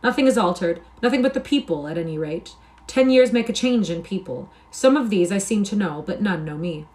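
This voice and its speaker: A woman's voice: medium pitch, animated, brisk, a little mellow, lightly acted. A woman reading the part of an eager young soldier who has just come home, speaking in impassioned, old-fashioned English. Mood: joyful. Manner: impassioned, archaic speech.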